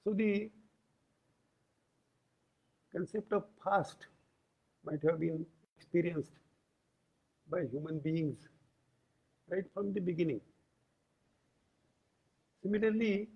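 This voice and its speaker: Slow, husky man lecturing. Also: fairly high pitch. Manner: deliberate, pausing between short phrases. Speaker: yoga lecturer. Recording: tinny, phone-like band-limited audio.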